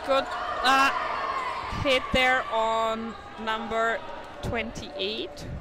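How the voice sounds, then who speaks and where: A woman's voice: a little high, flat delivery, drawn-out words. Chatty woman commentating live sport. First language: not English. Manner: chatty, thinks aloud, stumbles on names. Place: indoor sports hall. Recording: noisy sports hall ambience. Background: bouncing balls and hall noise.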